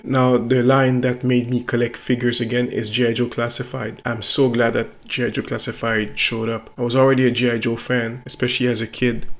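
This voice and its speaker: A middle-aged man with a French Canadian accent talks to his viewers in a level, even tone that barely rises or falls. His speech is reflective and self-conscious and wanders through restarts. His pitch sits in the usual middle range for a man. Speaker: toy reviewer and site owner. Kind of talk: casual talk to camera.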